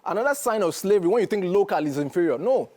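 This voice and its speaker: A man's voice: high-pitched, lively intonation, fast talker, mellow. A man with a Nigerian accent, a guest on a studio interview, speaking in an emphatic, lecturing way and often checking that he is understood. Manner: emphatic, lecturing, keeps asking "do you understand?". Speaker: guest commentator.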